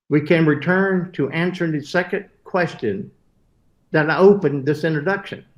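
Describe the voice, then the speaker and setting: Earnest older man, roomy sound. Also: on the high side. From southern United States. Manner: earnest, with occasional self-corrections. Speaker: webinar host. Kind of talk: prepared webinar talk. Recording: roomy echo.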